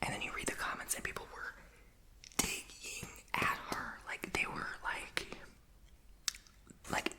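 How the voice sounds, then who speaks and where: A woman's voice: a little slow, long pauses. A young woman whispering as she chats while doing a puzzle, rambling, playful and blunt. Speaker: vlogger.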